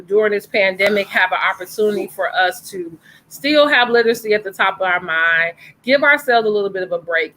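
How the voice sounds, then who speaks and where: A woman's voice: medium pitch, a bit bright, poor audio. A woman hosting a family story time over a video call from home, speaking in an earnest, encouraging way. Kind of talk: casual chat and introduction.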